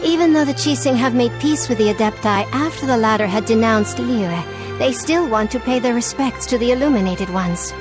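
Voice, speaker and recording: Actress doing polite overworked secretary in a game, fairly high voice. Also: female voice, close to an everyday voice. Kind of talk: video game voice acting.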